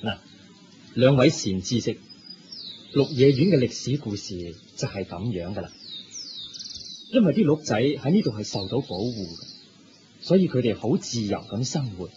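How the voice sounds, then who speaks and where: A man's voice: medium pitch, sing-song, moderate pace, pauses often, lightly acted. A man with a Hong Kong Cantonese accent playing a wise, courteous Buddha teaching in a radio drama. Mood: storytelling. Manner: didactic, courteous. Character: wise, kindly Buddha telling moral tales to travellers. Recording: dull lo-fi recording. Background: prominent music.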